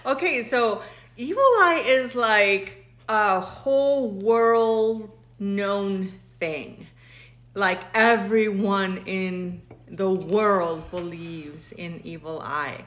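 A woman's voice: mid-range voice, extremely slow, fairly bright tone. A woman from Mexico co-hosting a podcast, chatting casually and animatedly, laughing often and switching between English and Spanish. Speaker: podcast co-host. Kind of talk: casual bilingual chat.

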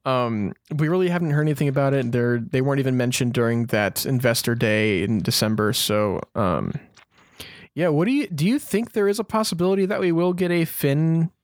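A man's voice: mid-range voice, brisk, no echo, studio quality. A man co-hosting a podcast, chatting casually through news as an enthusiastic fan. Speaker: podcast co-host.

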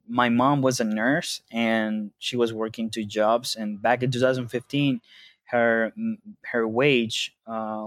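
A young man from Venezuela, a guest in a casual interview, talking haltingly with many fillers and restarts. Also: mid-range voice, no room sound.

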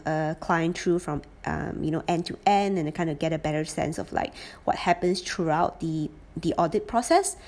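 A woman in her late twenties telling how her auditing career went, in a thoughtful, casual way with lots of pauses and fillers. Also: lowish voice, a little mellow. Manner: thoughtful, with frequent um fillers and restarts. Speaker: former auditor sharing her career experience. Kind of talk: casual reflective monologue.

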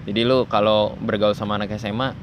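Pria bersuara rendah yang santai mewawancarai, di tengah bising jalan. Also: male voice, on the low side, little pitch movement, quick pace, muffled recording, noisy background. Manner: teasing, prompting with short replies. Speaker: interviewer host. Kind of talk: casual interview.

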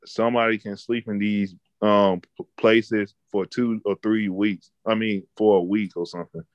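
A man's voice: on the low side, flat delivery, moderate pace, no room sound, highs cut off. A man in his twenties chatting casually, rambling and profane, often repeating words as he starts a thought. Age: 20s. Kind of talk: casual chat.

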